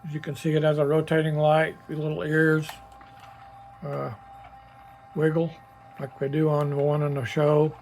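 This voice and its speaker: A man giving a casual, unscripted demonstration as a hobbyist builder, plainspoken and matter-of-fact, with a halting delivery. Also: fairly high pitch, completely flat delivery, darkish tone, a little hiss or hum. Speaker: hobbyist builder presenting a project.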